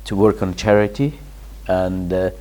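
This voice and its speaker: A man from Afghanistan answering interview questions as a guest, pausing and restarting often. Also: sits low, level tone, warm tone, low-quality recording. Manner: hesitant, many restarts and fillers, laughs in places. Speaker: guest, charity founder.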